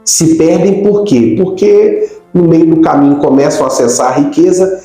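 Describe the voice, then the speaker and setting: A man's voice: on the high side, fairly even intonation, normal speed, mellow. A middle-aged Brazilian man from Rio de Janeiro state, a pastor explaining things conversationally as an interview guest. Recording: very echoey room, faint music.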